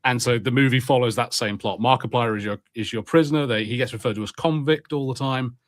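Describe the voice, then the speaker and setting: A man co-hosting a podcast, rambling in animated casual chat and laughing easily. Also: medium pitch, fast, dry recording, studio quality. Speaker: podcast co-host.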